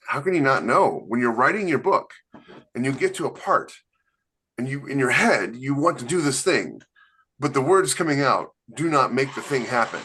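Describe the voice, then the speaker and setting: A man's voice: medium pitch, noisy background. A man co-hosting a podcast as an author, talking in casual chat and driving his points home by repeating them. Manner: emphatic, repeats points for stress.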